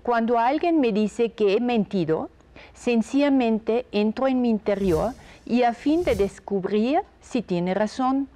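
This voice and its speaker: A woman with an Austrian accent, a guest on a talk show, reading a reflective passage aloud in a gentle, thoughtful way. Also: fairly high pitch. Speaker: guest expert.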